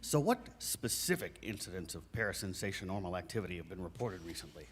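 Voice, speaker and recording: A man's voice: sits low, big pitch swings, fast talker, slight background noise. A man acting a pompous, self-important ghost-hunter show host in a live comedy sketch, with a grandiose, theatrical manner. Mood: irritated. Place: recreation center hall, live stage performance. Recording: hall reverb of a live show.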